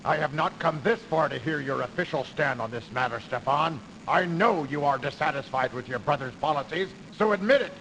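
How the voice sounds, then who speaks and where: A man playing a crooked, persuasive industrialist in a video game, with a gravelly voice and insinuating, theatrical delivery. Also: on the high side, fairly quick, hardly any pauses, a bit bright. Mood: pressing, flattering. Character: crooked, smooth-talking industrialist man, calculating, persuasive schemer. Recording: noisy early CD game audio.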